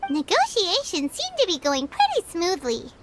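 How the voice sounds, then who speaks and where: A woman voicing a tiny, excitable and easily flustered fairy companion in a video game, with a squeaky childlike voice. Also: moderate pace, music in the background, full character voice. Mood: cheerful.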